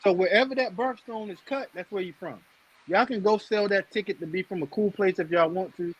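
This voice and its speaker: A man from Jamaica co-hosts a casual talk show. His husky, high voice stammers at the start of a point before he lands it with emphasis. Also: fairly quick, highs cut off. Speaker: co-host. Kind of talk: casual chat.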